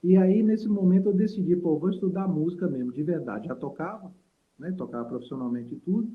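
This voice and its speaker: A man's voice: sits high, brisk. A man from Salvador, a guest saxophonist and teacher telling stories in a rambling, reflective way with many fillers over a video call. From Salvador, Brazil. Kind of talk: casual interview storytelling. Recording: tinny call audio.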